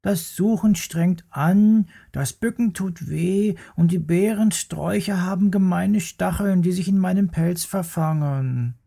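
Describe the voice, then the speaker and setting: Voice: mid-range voice, a little slow, vocal fry, hardly any pauses, warm tone, voice pushed up. A storyteller voicing a small, greedy bear cub who grumbles about work in a children's story. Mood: whiny. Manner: whiny, sulky. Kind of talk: storybook character voice.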